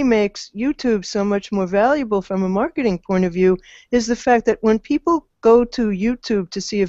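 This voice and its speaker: A woman's voice: medium pitch, a little mellow, no echo. Older woman, dry and slightly muffled. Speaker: panelist. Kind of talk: conversational panel discussion. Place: indoor room on a video call. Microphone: video call. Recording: dull dry video call audio.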